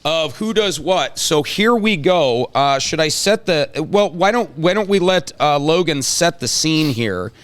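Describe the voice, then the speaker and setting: Higher-pitched man hosting a playful chat. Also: animated, a little slow, hardly any pauses, a bit bright, smooth. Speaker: host. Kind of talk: casual chat, playful prompting.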